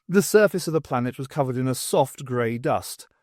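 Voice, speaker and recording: A man's voice: medium pitch, lively intonation, moderate pace, darkish tone, dry recording, studio quality. A man reading scripted narration from a science fiction audiobook.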